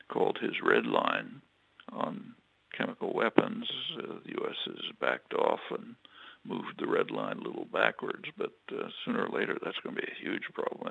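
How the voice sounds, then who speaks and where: Gravelly low-voiced man, halting analysis. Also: a bit bright, no room sound, lo-fi sound. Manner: halting, many fillers and restarts. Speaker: interviewee, political analyst. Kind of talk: measured spoken analysis, answering questions.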